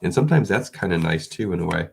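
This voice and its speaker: Deep gravelly congested man. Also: lively intonation, fast talker, slight background noise. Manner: rambling, restarts, soft chuckles. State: congested, sniffling from illness. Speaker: video creator. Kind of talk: casual vlog chat.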